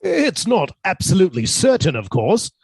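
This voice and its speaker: A man playing a hesitant, submissive professor husband with a quiet menace, stammering his way through a radio suspense drama. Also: high-pitched, huge pitch swings, moderate pace, gravelly, slightly rough, no room sound, clean studio sound, exaggerated voice. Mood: evasive. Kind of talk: theatrical radio suspense acting.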